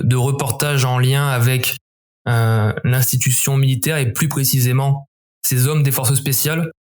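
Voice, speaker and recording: A man's voice: medium pitch, darkish tone. Reflective French man giving interview answers. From southern France, near Montpellier. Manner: measured, reflective, with frequent restarts. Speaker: guest, former special forces member.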